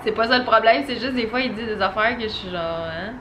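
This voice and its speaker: A young woman with a Québécois French accent, chatting casually to camera as a vlogger and giving a relaxed film review. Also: a little high, fast talker, background noise. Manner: chatty and animated, full of casual fillers.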